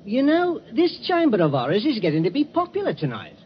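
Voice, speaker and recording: A man's voice: very high-pitched, wildly swooping pitch, a little fast, full character voice. An actor playing a chatty, joking night watchman with a Cockney accent in a 1940s radio drama. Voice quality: jaunty, folksy. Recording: dull old radio recording, noisy.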